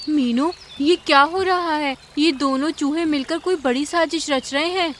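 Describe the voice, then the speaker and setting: A high-voiced cartoon bird, played by a woman, clever and full of concern. She speaks earnestly, with a faint noise behind her. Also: unhurried, fairly bright tone. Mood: suspicious, concerned. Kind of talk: cartoon character acting.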